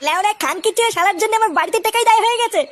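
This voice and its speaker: A performer voicing a foul-mouthed, hot-tempered young village man in a comic cartoon, shouting crude rants. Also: very high-pitched, very fast. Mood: angry ranting.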